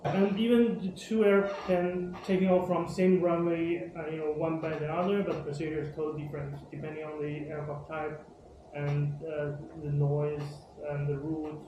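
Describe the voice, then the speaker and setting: A man's voice: on the high side, level tone, moderate pace, highs cut off. A man, a visiting pilot, giving short answers in a group discussion. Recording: distant, very echoey, noisy room.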